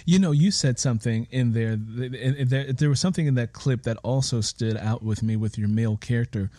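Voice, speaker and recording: A man hosting a conversational interview, speaking in deliberate, wordy phrases. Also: medium pitch, mellow, dry recording. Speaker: interview host.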